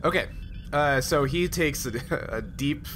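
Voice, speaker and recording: A man's voice: on the high side, measured pace, a bit bright, faint music behind. A man working as game master, narrating and refereeing a tabletop game in a casual style.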